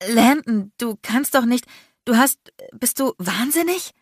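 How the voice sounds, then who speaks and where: A woman's voice: medium pitch, very animated, moderate pace, no echo, studio quality, pitched above the performer's own voice, close to an everyday voice. A woman voicing a defiant, wounded, indignant young woman in an audiobook, with heated emotional delivery. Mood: stammering, shocked. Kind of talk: dramatic audiobook character acting.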